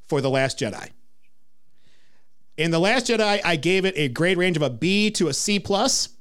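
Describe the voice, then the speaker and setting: A man's voice: a little high, a bit bright, smooth. A man hosting a movie review podcast, teasing his co-host and rattling off lists.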